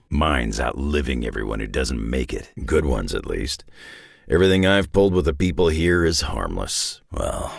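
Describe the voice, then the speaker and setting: A man playing a gruff, world-weary, sardonic middle-aged superhero brawler in a video game, with a raspy growl and a blunt, wry drawl. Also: a bass voice, no room sound, clean studio sound, heavily acted. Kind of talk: video game character voice lines.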